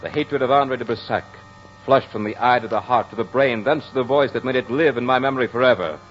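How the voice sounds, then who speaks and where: An actor playing a brooding aristocratic courtier in an old radio drama, telling his tale in a measured, florid, dramatic way. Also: medium pitch, faint music behind, close to an everyday voice. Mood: intense, bitter. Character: brooding aristocratic French courtier recounting a fatal duel. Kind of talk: 1940s radio drama monologue. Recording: muffled old radio recording.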